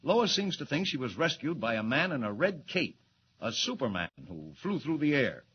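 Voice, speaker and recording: A man playing a brisk, lively newspaper editor. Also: mid-range voice, slight background noise, close to an everyday voice. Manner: brisk, bossy, chuckling. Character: brisk middle-aged male newspaper editor. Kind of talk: 1940s radio acting. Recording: lo-fi 1940s radio transcription.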